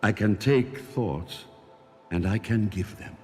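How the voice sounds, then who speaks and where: An aged, mysterious mind-reader in an audio drama, speaking in a low voice with a foreign accent. He talks in slow, formal, measured phrases. His voice hangs in a long reverb, as if it sounds inside a head. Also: soft background music. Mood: gentle. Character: old mysterious telepathic man, calm and sorrowful.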